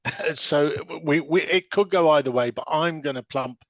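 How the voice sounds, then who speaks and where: A man's voice: a little high, moderate pace, dry recording. A man chatting as an interview guest, with an enthusiastic storytelling manner. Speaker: podcast guest, theatre artistic director. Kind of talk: conversational interview answers.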